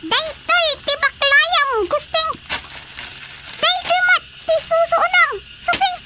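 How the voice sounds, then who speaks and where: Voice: very high-pitched, fairly even intonation, takes it slowly. An actor playing an insistent comic character in an Ilocano radio drama comedy, scolding and urging. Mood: explaining. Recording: very noisy radio drama with animal sounds.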